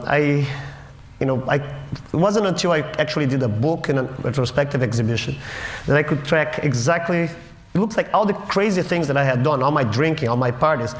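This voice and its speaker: Wry man in a roomy hall. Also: medium pitch. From Brazil. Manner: wry, self-mocking, halting with restarts. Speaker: conference speaker. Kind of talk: humorous storytelling talk. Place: conference auditorium stage. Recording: roomy hall with faint noise.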